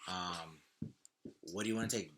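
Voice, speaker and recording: A man hosting a podcast in casual chat, talking in a slangy, halting way and often repeating himself. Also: deep voice, background noise. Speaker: podcast host.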